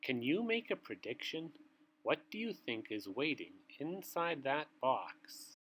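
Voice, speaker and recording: A man reading a picture book aloud to young children, with theatrical, drawn-out phrasing and asides to his listeners. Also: medium pitch, sing-song, fairly bright tone, slightly rough.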